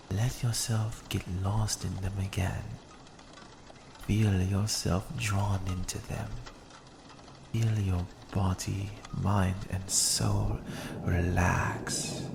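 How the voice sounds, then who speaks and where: A man's voice: low-pitched, level tone, vocal fry, background noise, full character voice. A man playing a smug, predatory vampire in an ASMR roleplay, teasing and coaxing as he speaks. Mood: hypnotic, soothing. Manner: slow, teasing, menacingly coaxing, sometimes whispering.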